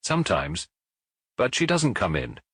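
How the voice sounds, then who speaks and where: A man's voice: fairly low pitch, wildly swooping pitch, unhurried, a bit bright, breathy edge, no echo, clean studio sound, heavily acted. A man voicing a hesitant, evasive café waitress in a detective audiobook, with a guarded manner.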